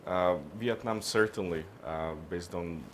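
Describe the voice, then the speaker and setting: A man from Hungary, answering business questions in a measured, halting way, often restarting his phrases. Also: deep voice, slight background noise. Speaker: interviewee, business consultant. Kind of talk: on-camera business interview.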